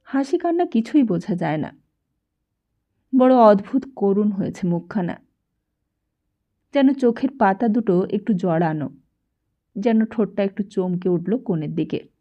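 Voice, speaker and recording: Female audiobook narrator, unhurried and expressive literary read, slightly muffled lo-fi sound. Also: mid-range voice. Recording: dull, lo-fi sound.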